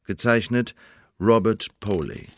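Officious, low-voiced secret agent. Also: male voice, noisy background. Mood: officious, measured. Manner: formal, measured, officious. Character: officious, loyal male secret agent giving a colleague assessment. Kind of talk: German radio drama, formal report reading.

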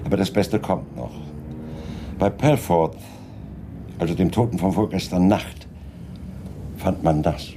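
A man playing a dry, composed, persistent police inspector in a radio crime play, speaking with measured, polite insistence. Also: sits low, background noise. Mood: serious, insistent. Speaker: actor in a radio crime drama. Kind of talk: 1980s German radio play acting.

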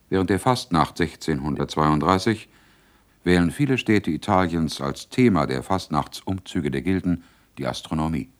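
Sober radio play chronicler: very low voice, measured, factual announcing. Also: male voice. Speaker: narrator. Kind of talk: scripted radio drama narration.

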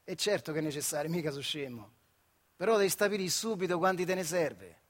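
An actor playing a proud, stubborn factory worker, telling his life in a colloquial central Italian dialect, animated and full of rhetorical questions. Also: on the high side, fast talker, natural voice. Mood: proud, reflective. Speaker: actor-narrator. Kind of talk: theatrical storytelling monologue for radio.

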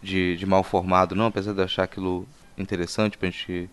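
Low, clear-voiced man hosting, halting phrasing. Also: level tone. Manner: hesitant, halting phrasing with repeated words. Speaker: podcast host. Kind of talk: casual interview talk.

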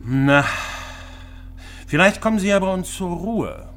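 An actor playing a condescending East German personnel official who lectures with false concern in a radio drama. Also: male voice, high voice, animated, a bit bright, slight background noise. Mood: patronizing. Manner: deliberate, condescending.

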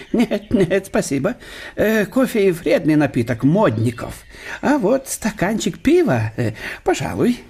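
An actor playing a cheerful, obliging German professor who chuckles and chats in an ingratiating way, with a husky voice, in a radio drama. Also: male voice, sits high, sing-song, measured pace, darkish tone, full character voice. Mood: jovial.

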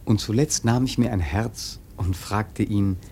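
An actor playing a wary, earnest seafarer telling his tale in a German radio play, husky and measured. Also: on the low side, warm tone, slight background noise. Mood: uneasy. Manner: measured, suspenseful storytelling.

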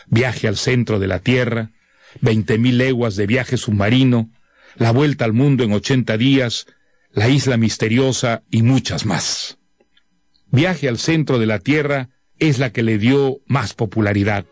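A man presenting a novel in a scripted, measured, literate narration. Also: mid-range voice, no room sound. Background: music.

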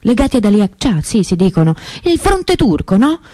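Mellow close dry voice, young woman missing her sister, confiding and halting, radio play. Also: mid-range voice, big pitch swings, no echo. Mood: sad, bewildered. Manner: confiding, hesitant, conversational with pauses and repetitions. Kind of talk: intimate radio drama monologue.

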